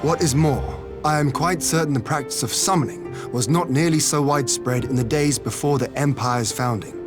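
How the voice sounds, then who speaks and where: A man's voice: mid-range voice, moderate pace, music in the background, close to an everyday voice. An actor playing an earnest, learned man in a video game, making polite, reasoned, formal explanations. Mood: measured skepticism.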